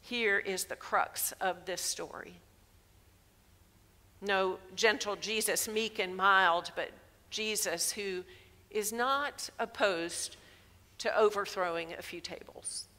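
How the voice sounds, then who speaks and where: A woman in her sixties preaching a sermon in a church sanctuary through a headset mic, measured and emphatic. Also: mid-range voice, bright, forceful tone. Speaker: pastor. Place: large church sanctuary, indoors. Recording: long reverb of a church hall.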